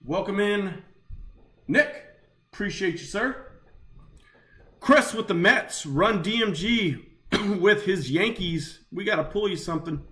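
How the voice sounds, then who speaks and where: A young adult man hosting a live sports card break, slightly raspy and chatty as he opens packs. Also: sits high, expressive, slow pace, pauses often, fairly bright tone. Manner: upbeat, chatty, talks while opening packs.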